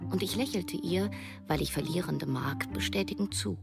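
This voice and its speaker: An actress playing a woman writer in her thirties who narrates a radio drama in a measured, literary way. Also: on the low side, vocal fry, loud music behind the voice. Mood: reflective. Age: 30s. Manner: measured, storytelling.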